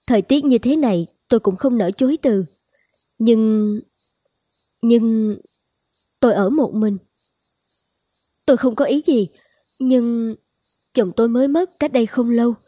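An actress playing a polite, soft-hearted, emotional young woman in an animated cartoon, with earnest delivery. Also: on the high side, unhurried, long pauses, no room sound. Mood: hesitant, kind. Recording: music behind.

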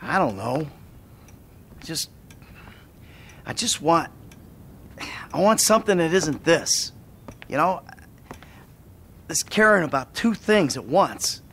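Bitter middle-aged American man, swinging pitch. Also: sing-song, takes it slowly, pauses often, fairly bright tone, a little hiss or hum, heavily acted. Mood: subdued, reflective. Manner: sardonic, profane, exasperated delivery. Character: bitter, sarcastic middle-aged male ex-bank robber in therapy. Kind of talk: dramatic video game cutscene acting.

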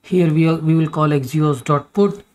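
A man's voice: on the high side, moderate pace, darkish tone. A man explaining code step by step in a screen-recorded programming tutorial, with many fillers and restarts as he goes.

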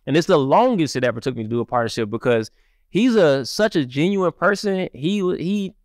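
A man from Cleveland, raised partly in Mississippi, talking casually as a music-marketer guest on a podcast, with lots of fillers, into a studio boom mic. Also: fairly quick, no room sound. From Cleveland, Ohio, raised partly in Mississippi. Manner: animated, repetitive fillers. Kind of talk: casual interview talk. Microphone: studio mic on boom arm.

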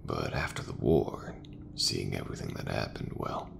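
A man plays a gentle mech mechanic in a sci-fi ASMR roleplay. His voice is extremely deep and thick with gravel, murmured softly and close to a whisper. He is unhurried and reassuring, with rumble and clicking noise clearly behind him. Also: big pitch swings, exaggerated voice. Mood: reflective, easygoing. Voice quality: very low, soft, breathy murmur, often near whisper.

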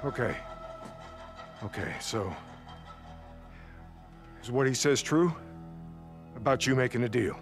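An actor playing a defiant, gruff leader of a survivors' camp in a video game, blunt and forceful with a gravelly voice. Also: male voice, medium pitch, slow pace, long pauses, faint music behind. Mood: wary. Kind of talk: dramatic video game cutscene acting.

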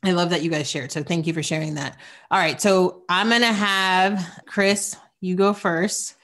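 A woman in her forties hosting a podcast interview, upbeat and encouraging, quick to praise her guests. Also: lowish voice, steady tone, fairly bright tone. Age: 40s. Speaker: podcast host. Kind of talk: casual interview chat.

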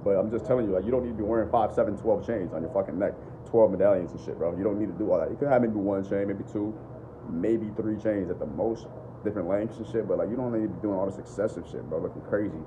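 A young man with a deep voice, talking blunt and profane off the cuff to his phone camera at home. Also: fast, muffled recording, noisy background. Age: young adult. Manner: blunt, profane, repeats "bro" and "bottom line". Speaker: dating and self-improvement vlogger. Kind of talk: unscripted casual talk to camera. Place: living room at home. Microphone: phone camera at arm's length.

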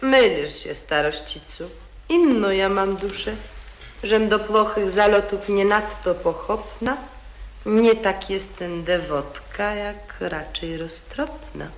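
Lady acting as a stiff proper noble widow, slow and cool, echoey old radio recording. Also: mid-range voice, a little hiss or hum. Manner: cool, reproachful, measured. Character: prim, proud young widowed noblewoman. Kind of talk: 1940s radio acting in verse.

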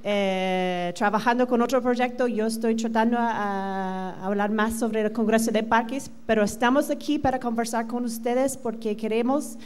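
A middle-aged American woman whose first language is English, giving a conference talk in a large hall on a handheld mic, quick with many fillers. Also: fairly high pitch, fairly even intonation, darkish tone, smooth. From United States. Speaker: conference presenter. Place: large hotel conference room. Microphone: handheld microphone.

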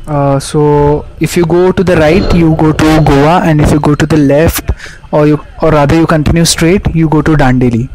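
A young man with an Indian English accent narrating his motorcycle ride casually, filling pauses with frequent "uh"s, recorded on a helmet camera outdoors. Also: a little high, steady tone. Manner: hesitant, frequent "uh" fillers. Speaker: moto-vlogger. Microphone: helmet-mounted action camera. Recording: wind and road noise. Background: road and engine noise.

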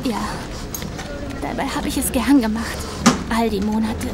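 A woman's voice: on the high side, steady tone, unhurried, loud music behind the voice, natural voice. An actress playing an anxious, devoted young female carer, earnest and hesitant, in an audio drama. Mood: distraught. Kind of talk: German audio drama acting. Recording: noisy ambience behind.

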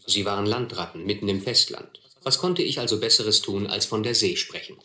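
Reflective ex-vagabond narrator, wry, forward crisp-edged voice, roomy radio play recording. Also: male voice, lowish voice, a little fast, pushed and bright. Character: grown man looking back wryly on his vagabond youth.

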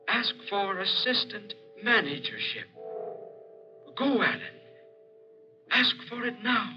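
Slow, high, otherworldly spirit voice. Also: male voice, heavily acted. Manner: slow, drawn-out, solemn urging. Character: unseen otherworldly spirit, insistent, commanding, eerie adviser. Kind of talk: 1940s horror radio acting. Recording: dull vintage 1940s radio recording.

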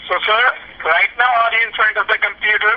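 Man, high pitch, phone support script, insistent, muffled speakerphone recording. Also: fairly even intonation, a little fast, a little hiss or hum. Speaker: call center caller posing as tech support. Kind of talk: scripted phone sales-style instructions. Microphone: phone speaker recorded by a nearby camera. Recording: dull speakerphone call picked up by camera.

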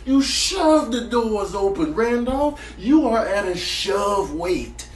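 Exasperated, mocking animal pal for a comic voiceover, played by a man: high, very animated, slangy. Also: slow pace, heavily acted. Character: exasperated animal friend, sarcastically scolding a fat buddy. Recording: roomy, distant mic with noise.

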